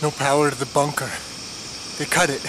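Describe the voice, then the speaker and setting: An actor playing a jittery, paranoid, defensive middle-aged tinkerer in a video game, nervous and stammering, with an American accent. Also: male voice, a little high, level tone, a little fast, background noise, natural voice. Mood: haunted, bitter. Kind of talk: video game cutscene acting. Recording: loud film-score music behind.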